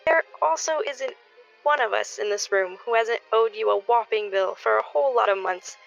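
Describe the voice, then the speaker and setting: A woman acting in a radio play as a sentimental middle-aged neighbor, speaking in a measured, folksy speechmaking way. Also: a little high, creaky, faint music behind. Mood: affectionate, sentimental. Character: sentimental middle-aged neighbor giving an affectionate party toast. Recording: dull, lo-fi recording.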